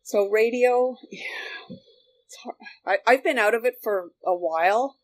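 A woman's voice: a little high, measured pace. A middle-aged woman in casual, rambling conversation as a podcast guest, often repeating her phrases. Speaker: podcast guest, former radio worker. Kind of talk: casual chat.

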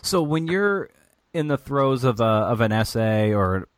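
A man hosting a podcast, asking questions in a halting, casual way. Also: medium pitch, very animated, no room sound, clean studio sound. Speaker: podcast host.

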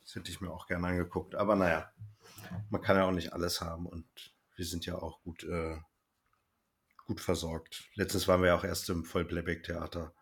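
A man with a low-pitched voice hosts a podcast. He talks in a casual, conversational interview style. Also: flat delivery, moderate pace. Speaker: podcast host.

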